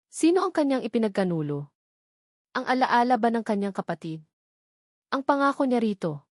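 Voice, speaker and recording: A woman's voice: medium pitch, darkish tone, no room sound. Filipino woman narrating dramatic romance, dry close sound.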